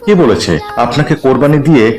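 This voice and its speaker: A patient, devout cartoon man, played by a male actor, reasoning earnestly. His mid-pitched voice moves in lively swings. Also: fast talker, natural voice. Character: pious, patient, reasonable adult family man. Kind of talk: animated cartoon dialogue.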